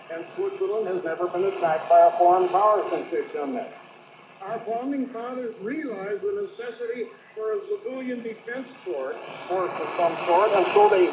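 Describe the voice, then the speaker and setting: A man preaching a political sermon on shortwave radio, speaking emphatically and deliberately and repeating phrases for stress. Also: high voice. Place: radio broadcast picked up on a shortwave receiver. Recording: noisy, dull, echoey shortwave radio reception.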